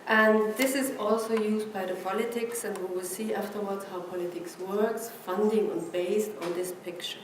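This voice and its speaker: A woman from Austria, a native German speaker with an Austrian German accent, gives an academic conference lecture, haltingly searching for words. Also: medium pitch, fairly even intonation. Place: presentation room with an audience. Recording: echoey room, distant mic, noisy background.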